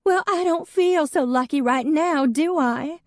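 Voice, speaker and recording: A woman's voice: very high voice, expressive, slow pace, darkish tone, dry recording, clean studio sound, voice pushed up, exaggerated voice. A woman reading an audiobook, voicing a weepy, clingy young woman who wails dramatically. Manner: sobbing, wailing.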